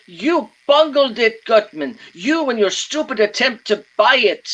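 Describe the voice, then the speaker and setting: A prissy, excitable villain's accomplice from an old-time radio play. His voice is high and bright with wide swings, every word precise, fussy and theatrical. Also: measured pace, full character voice. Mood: furious. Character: prissy, excitable, sneering male criminal accomplice.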